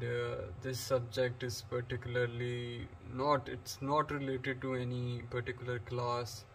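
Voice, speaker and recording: A man from Pakistan talks through an English lesson in a flat, level voice that barely rises or falls. He rambles with frequent fillers and restarts. Faint background noise sits under the recording. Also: medium pitch, low-quality recording. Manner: flat delivery. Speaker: English teacher. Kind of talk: unscripted lecture.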